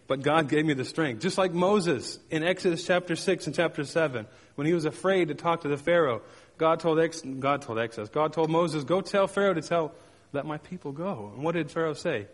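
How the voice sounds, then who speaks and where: A man's voice: fairly high pitch, fairly quick. Man preaching in an echoing hall. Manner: storytelling with sound effects, emphatic repetition. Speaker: pastor. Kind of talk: sermon with personal stories.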